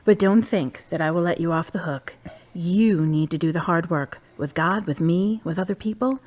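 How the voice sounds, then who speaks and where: A woman's voice: lowish voice, normal speed, darkish tone, natural voice. A woman playing a frank, wise young woman. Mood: firm. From United States. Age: 20s. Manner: blunt and dryly teasing. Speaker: friend and love interest. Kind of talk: amateur web drama acting.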